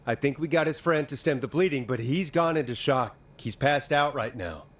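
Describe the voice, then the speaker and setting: An actor playing a steady, reassuring but urgent radio host in a horror game drama. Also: male voice, medium pitch, normal speed, natural voice. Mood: urgent, earnest. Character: calm, earnest male 1980s radio DJ in crisis.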